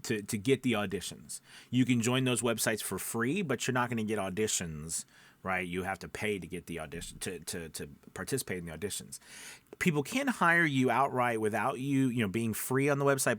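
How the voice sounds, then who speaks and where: A man's voice: on the low side, fairly bright tone, dry recording. A man giving casual, instructional advice as a voice-over coach, conversational and often checking in with the listener. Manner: conversational, frequent check-in tags like right and okay. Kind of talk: casual instructional chat to camera.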